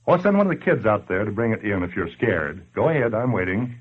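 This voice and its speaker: Tinny old radio: sardonic American wise guy, low swooping voice, needling the listener. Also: sing-song, fairly quick, slight background noise. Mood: sardonic goading. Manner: slangy, sardonic, badgering. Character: brash wisecracking male narrator needling the listener at home. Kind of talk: 1940s radio acting, direct address. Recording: tinny, band-limited old radio broadcast.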